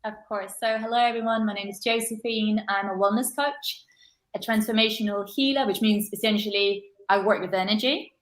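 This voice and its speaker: A woman's voice: medium pitch, fairly even intonation, brisk, roomy sound, poor audio. A woman from Denmark with a Danish accent, a wellness coach introducing herself on an online panel. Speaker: wellness coach and yoga teacher.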